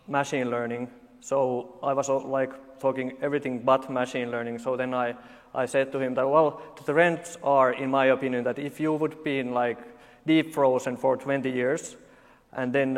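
Man, Finnish accent, forties, deadpan conference speaker, frequent fillers, reverberant hall. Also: mid-range voice. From Finland. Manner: halting, many uh fillers, dry jokes. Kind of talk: conference talk with deadpan humor.

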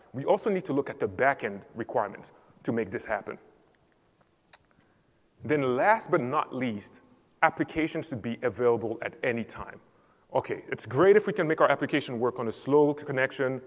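Man presenting in a large echoing hall. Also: mid-range voice. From Cameroon. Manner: measured, explanatory delivery. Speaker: enterprise support lead presenting. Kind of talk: technical conference presentation. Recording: reverberant hall.

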